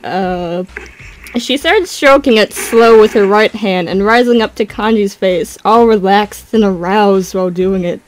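Young woman reading fanfic out loud, jokey side comments, normal pitch. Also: measured pace, low-quality recording. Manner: irreverent, giggly asides. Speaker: co-reader and narrator. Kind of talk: joking dramatic reading with commentary.

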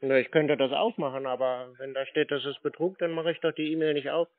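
A man's voice: fairly high pitch, fairly even intonation, fairly quick, dry recording. A man in a casual phone conversation, acting clueless and asking questions as a customer. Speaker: scam-baiting prank callee posing as customer. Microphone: phone call. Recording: muffled narrow-band call audio.

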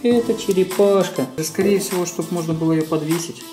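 A man's voice: high-pitched, brisk. Man guiding a toy video, music behind. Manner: simple explanations and prompting questions to a child. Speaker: co-host of a children's toy video. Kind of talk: toy unboxing commentary to children. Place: small room at home.

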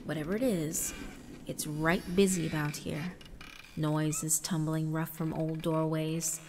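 Slow, low-voiced woman narrating in long reverb. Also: flat delivery, a little mellow, slight background noise. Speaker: visual novel narrator. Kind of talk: dramatic first-person scripted narration. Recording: long reverb, music underneath. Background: background music.

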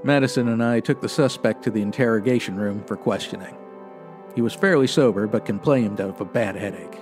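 An actor playing a clipped, deadpan American police sergeant in his thirties, in the style of 1950s radio detective drama. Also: on the low side, a little fast, faint music behind, natural voice. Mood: matter-of-fact. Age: 30s.

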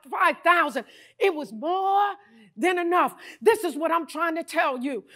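A middle-aged woman pastor preaching a sermon on a large church stage into a handheld mic, animated and breaking into shouts. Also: very high-pitched.

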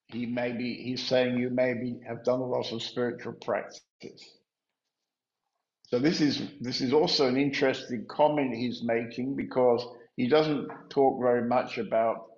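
An older man, a spiritual teacher, explaining in a measured, gently halting way on a video call. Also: medium pitch. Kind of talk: informal teaching and chat. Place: room at home, indoors. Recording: echoey room, dull lo-fi sound.

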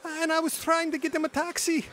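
A man's voice: very high voice, flat delivery, brisk, a bit bright. An actor playing a courteous hotel doorman. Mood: shocked. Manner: courteous, formal.

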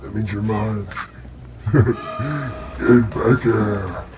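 A man's voice: fairly low pitch, noisy background. A man streaming a horror game as the killer, speaking through a voice changer and taunting his friends. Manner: taunting, slow and menacing, laughs often. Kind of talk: casual gaming chat through a voice changer.

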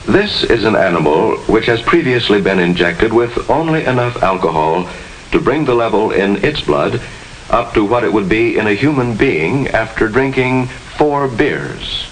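A man's voice: fairly low pitch, lively intonation, unhurried, poor audio. An American man giving formal, instructive narration with precise diction in the style of a 1950s educational film. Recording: hissy 1950s film soundtrack.

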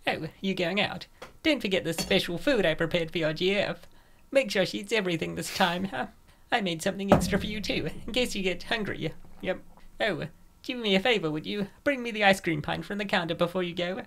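A woman's voice: mid-range voice, a little fast, gravelly, fairly bright tone, a little hiss or hum. A woman voicing a scheming, gluttonous young gym woman in a comic dub, coaxing and smug.

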